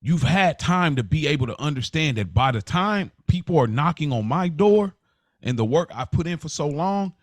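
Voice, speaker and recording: A man's voice: a little high, lively intonation, moderate pace, no room sound, studio quality. A man co-hosting a podcast in casual chat, repeating phrases as he argues his point with examples. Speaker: podcast co-host.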